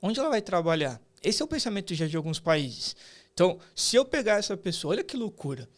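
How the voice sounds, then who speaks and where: A man's voice: high voice, very animated, quick pace. A man in his late thirties with a Brazilian accent, explaining things conversationally as an interview guest, emphatic and colloquial, often tossing in rhetorical questions. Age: late 30s. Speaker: podcast guest, artificial intelligence expert and professor.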